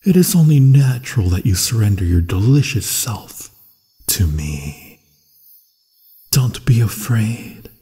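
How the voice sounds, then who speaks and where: Man playing a low, slow, breathy predatory werewolf. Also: sing-song, mellow, big-room sound, exaggerated voice. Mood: hungry, possessive. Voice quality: low, breathy, often whispered. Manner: slow, hungry, menacing coaxing. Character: predatory, possessive male werewolf hunting its human prey. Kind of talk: ASMR fantasy roleplay acting.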